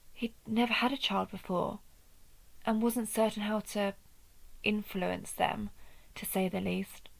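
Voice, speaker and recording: Woman acting a gravelly vampire huntress, deliberate storyteller. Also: mid-range voice, poor audio. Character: female vampire who hunts vampires, wry, urgent ally. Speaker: audio roleplay performer. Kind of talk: scripted audio roleplay, storytelling monologue.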